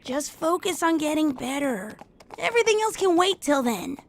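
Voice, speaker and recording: Casting: brash young female cartoon pegasus, confident and protective, very high raspy voice with lively swings. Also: moderate pace. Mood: insistent. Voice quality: raspy, creaky. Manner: confident, reassuring. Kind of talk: cartoon fan fiction character reading. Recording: music under the voice.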